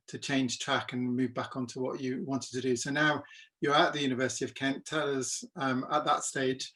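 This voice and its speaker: Male podcast interviewer with halting questions. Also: medium pitch, fairly bright tone. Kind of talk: conversational interview.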